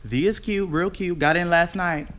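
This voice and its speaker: A man playing a flamboyant, teasing friend with a drawn-out, playful delivery in a staged dramatic reading. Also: high-pitched, fairly bright tone. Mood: sassy, teasing. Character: flamboyant, teasing, sassy male friend on the phone.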